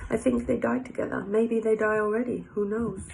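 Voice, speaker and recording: A woman's voice: on the high side, steady tone, a little fast, gravelly, a little mellow, poor audio. A woman voicing a resigned, mournful male French cafe owner in a storybook, with a put-on French accent and broken English. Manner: broken English, short fatalistic phrases. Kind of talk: storybook character voice.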